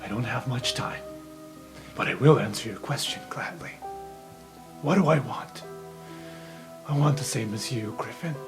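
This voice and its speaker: A man plays a renegade uncle in a fantasy game, smooth and evasive, with hushed theatrical courtesy hiding menace. Music plays clearly behind his mid-pitched voice. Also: unhurried, pauses often, natural voice. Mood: wistful, guarded. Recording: background music under voice.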